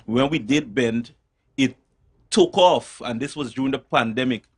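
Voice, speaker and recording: A man's voice: medium pitch, normal speed, husky. Guyanese man telling his band's story. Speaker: soca artist guest. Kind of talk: casual storytelling.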